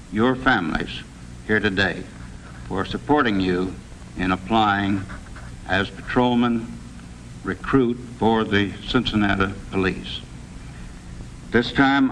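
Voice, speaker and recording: A man giving a formal, measured ceremonial address as an official swearing in police recruits. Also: fairly low pitch, a bit bright. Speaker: official administering a police oath. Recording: noisy 1960s film soundtrack.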